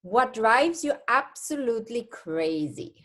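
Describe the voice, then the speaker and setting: A woman's voice: a little high, sing-song, measured pace, fairly bright tone. A woman hosting a casual chat show and putting quiz questions to a guest. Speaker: talk show host.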